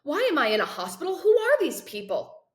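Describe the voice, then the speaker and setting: A woman's voice: sits high, wildly swooping pitch, brisk, pitched above the performer's own voice. A woman voicing a confused, demanding boy with amnesia in a storybook, blustering and urgent. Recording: roomy echo.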